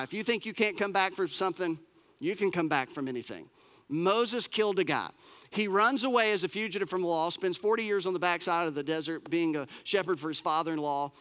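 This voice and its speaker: A man, preaching a sermon as pastor, lively and emphatic with repeated phrases and casual asides. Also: high voice, long reverb tail. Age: 40s.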